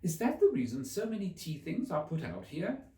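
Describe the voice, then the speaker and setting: A man voicing a polite, curious young storybook girl who speaks gently and questioningly. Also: a little high, wildly swooping pitch, moderate pace, pitched above the performer's own voice. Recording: roomy, distant microphone.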